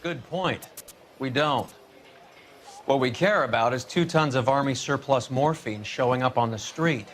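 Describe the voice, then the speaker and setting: An actor playing a crude, bullying 1940s vice detective, gruff and blunt. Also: male voice, mid-range voice, a little slow, a bit bright, natural voice. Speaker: police detective. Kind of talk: 1940s noir game acting.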